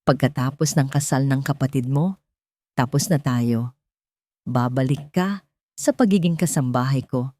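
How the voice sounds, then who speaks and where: A woman's voice: extremely low pitch, unhurried, long pauses, no echo, pitched below the performer's own voice. Woman voicing a cold, commanding billionaire boss. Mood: cold, businesslike. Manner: commanding, businesslike, deadpan.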